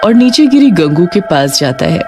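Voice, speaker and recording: कहानी सुनाती महिला, पीछे तेज़ संगीत. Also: female voice, lowish voice, very animated, normal speed. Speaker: narrator. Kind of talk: scripted cartoon horror story narration. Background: prominent background music.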